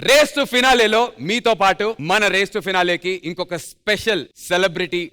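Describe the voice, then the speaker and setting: A male TV show host speaks. He announces in a showy, energetic stage style, stretching words for emphasis, with loud show music behind him. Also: sits high, takes it slowly, clear, resonant tone.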